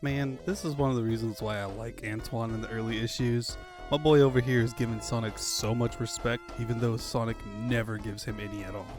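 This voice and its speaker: A man's voice: medium pitch, steady tone, a little fast, music in the background. A man hosting a comic fandub with casual, chatty commentary, joking and swearing freely. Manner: jokey, sweary. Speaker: host and fandub reader.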